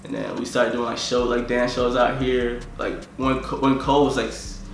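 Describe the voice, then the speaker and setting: A young man from Tanzania talks loosely about himself, stringing phrases together with "like" over and over. His delivery stays level, with little rise or fall in pitch. The voice rings a little in an echoey room, with faint background hum. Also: flat delivery, normal speed, vocal fry. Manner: rambling, fills nearly every phrase with "like". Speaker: musician being interviewed. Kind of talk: casual interview talk.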